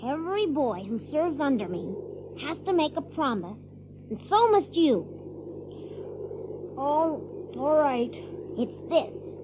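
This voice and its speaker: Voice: high-pitched, big pitch swings, slow pace, pauses often, background noise, exaggerated voice. An actor in an audio drama playing a young child on a fantasy adventure, eager and theatrical. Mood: earnest.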